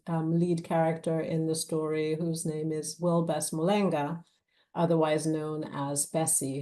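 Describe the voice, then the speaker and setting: A woman speaking as a guest author at a live book event, in a measured, pausing way. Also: low voice, mellow. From Zambia. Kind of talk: live author talk and reading from a novel.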